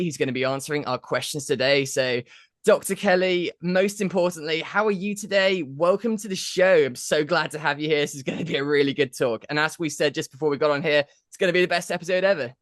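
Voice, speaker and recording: A man's voice: fairly high pitch, fairly quick, a bit bright, no room sound, clean studio sound. A young man hosting a podcast, enthusiastic and rambling in his questions. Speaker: podcast host. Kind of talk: upbeat interview hosting.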